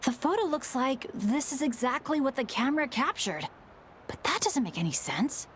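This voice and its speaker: A woman's voice: sits high, sing-song, normal speed, a little hiss or hum. A woman voicing a spirited, frank young female adventurer in an anime-style video game. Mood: puzzled.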